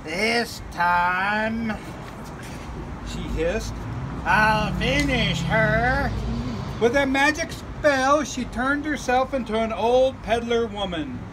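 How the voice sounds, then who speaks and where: A woman's voice: on the high side, slow pace. A woman giving a dramatic storybook reading outdoors on a patio with traffic. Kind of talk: dramatic storybook read-aloud. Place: outdoor restaurant patio among a group.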